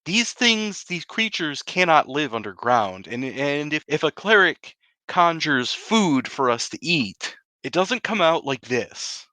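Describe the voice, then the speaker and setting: A man playing an exasperated, forceful know-it-all adventurer. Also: fairly high pitch, very animated, unhurried, no echo, exaggerated voice. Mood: impatient, absorbed. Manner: absent-minded, dismissive, explanatory. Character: exasperated, learned older male adventurer lecturing naive companions.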